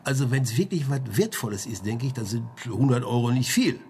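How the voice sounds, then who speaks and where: A man's voice: medium pitch, animated, a little fast, a little mellow, slight background noise, lightly acted. An actor playing a chatty, sly male junk-shop dealer under questioning in a radio crime drama, rambling colloquially with frequent tag questions. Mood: chatty, animated.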